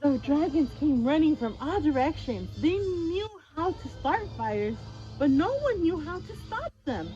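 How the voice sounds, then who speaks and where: A woman reads a children's story in a high, very expressive voice. She takes her time and draws young listeners in with questions. The sound is dull and lo-fi, with faint music behind. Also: unhurried. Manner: animated, gasps and prompts young listeners with questions. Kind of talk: expressive picture-book read-aloud with questions to children.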